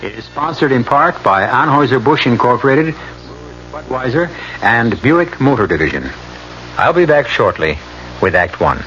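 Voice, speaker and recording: A man hosting a radio mystery, reading scripted narration in a deliberate, theatrical manner. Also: medium pitch, big pitch swings. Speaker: host and narrator. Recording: muffled old radio broadcast, loud music.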